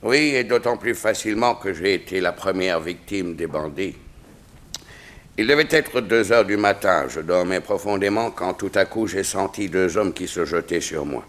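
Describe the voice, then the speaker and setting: An actor playing a dignified royal chamberlain in a 1960s French radio drama, speaking formally and precisely. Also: male voice, deep voice, moderate pace, a bit bright. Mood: grave, formal.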